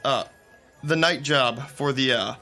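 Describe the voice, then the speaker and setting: A man playing teasing, bright-voiced crew guy. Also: medium pitch, measured pace, faint music behind. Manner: teasing storyteller. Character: joking friendly male theater crew member. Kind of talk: radio drama acting.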